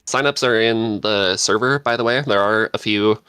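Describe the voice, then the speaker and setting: A man chatting casually as a podcast panelist, excitable and self-deprecating in manner. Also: lowish voice, moderate pace, clear, resonant tone, no echo, studio quality.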